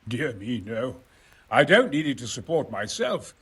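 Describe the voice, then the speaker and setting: An actor playing a genial, self-important exiled engineer in a video game, talking in a wry, hesitant, gentlemanly way. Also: male voice, medium pitch, sing-song, unhurried, slightly rough, heavily acted. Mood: wry, explanatory. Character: genial, erudite exiled male engineer, self-important about his opus. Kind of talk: video game cutscene acting.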